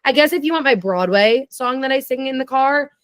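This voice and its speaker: A young woman guesting on a podcast, chatting eagerly in a low, raspy voice with plenty of vocal fry. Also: lively intonation, dry recording. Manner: chatty and enthusiastic, quick tangents. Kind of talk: casual chat.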